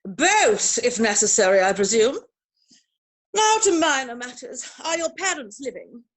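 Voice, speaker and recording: A woman playing an imperious, snobbish older aristocratic mother in a theatrical comedy reading, speaking with pompous, deliberate emphasis. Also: a little high, big pitch swings. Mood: disapproving. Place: virtual performance from home in isolation.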